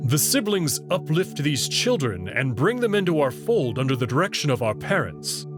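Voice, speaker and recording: A man voicing a proud, guarded male alien who explains things slowly and carefully. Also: fairly high pitch. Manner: measured and slow, prideful about his species. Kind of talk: visual novel reading.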